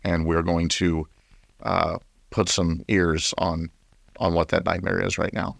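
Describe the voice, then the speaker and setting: Male author as radio guest, acted: deep register, earnest, deliberate, stop-and-start explanations, close dry recording. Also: flat delivery, vocal fry, dry recording, heavily acted. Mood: earnest, explanatory. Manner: halting, deliberate, emphatic explaining. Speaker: interview guest. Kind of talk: late-night radio interview commentary.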